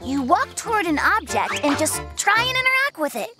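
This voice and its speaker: A woman's voice: extremely high pitch, sing-song, fairly quick. A woman voicing an earnest, friendly young male bear cub who explains things, in a children's cartoon. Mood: encouraging. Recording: music behind the voice.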